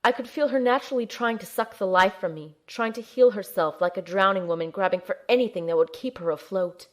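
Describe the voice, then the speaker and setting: A woman playing a determined young heroine who narrates her own adventure in an audio drama, in a measured, earnest way. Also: mid-range voice, lightly acted. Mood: focused, earnest. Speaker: narrator and lead character. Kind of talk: scripted first-person audio drama narration.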